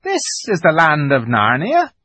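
A man's voice: on the high side, huge pitch swings, unhurried, pushed and bright, breathy edge, no echo, pitched above the performer's own voice, full character voice. A man voicing a polite, fussy, eager fawn creature in a storybook, with formal, flustered courtesy. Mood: courteous, curious.

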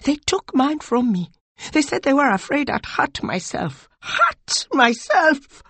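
A woman's voice: sits high, sing-song, slow, a bit bright, no room sound, pitched above the performer's own voice, exaggerated voice. A woman voicing a distraught, vengeful woman in an audiobook, urgent and wild with grief and fury. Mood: urgent, bitter. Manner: urgent, wailing, snarling, swinging from whispers to cries. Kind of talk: audiobook character acting.

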